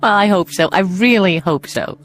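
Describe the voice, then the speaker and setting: A woman's voice: mid-range voice, moderate pace, soft background music, lightly acted. An actress playing a dry, doubtful, questioning mother in a radio drama. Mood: wry, doubtful. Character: wry, doubtful mother of two children.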